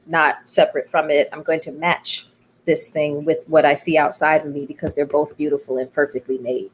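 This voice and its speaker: A middle-aged American woman with a slightly low voice talks in a personal, reflective conversation. She is thoughtful and emphatic, often pausing or circling back over a phrase to stress it. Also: little pitch movement, a little hiss or hum. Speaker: interview guest, playwright.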